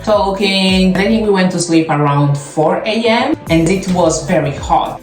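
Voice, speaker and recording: A woman tells travel stories in a chatty, self-deprecating way. Her voice sits low, the room has a roomy echo, and music plays behind her. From Portugal. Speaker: travel vlogger. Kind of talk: casual travel storytelling.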